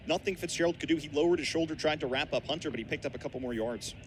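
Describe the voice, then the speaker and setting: A man's voice: a little high, very fast, poor audio. A young man with an American accent, giving live color analysis on a football radio broadcast. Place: outdoor college football stadium. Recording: outdoor stadium broadcast, ambient background noise.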